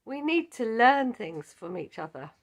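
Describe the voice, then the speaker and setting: Slow-speaking croaky-voiced woman teaching Bible study. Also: fairly high pitch, sing-song. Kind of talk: scripted Bible lesson.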